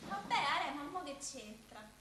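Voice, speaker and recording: A woman's voice: high voice, sing-song, normal speed, sharp tone, far from the mic, full character voice. An actress playing a sensible, frank Roman working-class woman in a dialect radio drama. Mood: reasonable. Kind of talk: naturalistic stage-style radio drama acting in dialect. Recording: echoey, off mic, faint noise.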